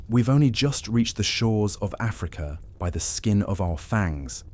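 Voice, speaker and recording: A man playing an evasive, scheming vampire advisor who speaks in a measured, persuasive way. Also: fairly low pitch, expressive, a little mellow. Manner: measured and persuasive, dodging questions. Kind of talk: audio drama acting.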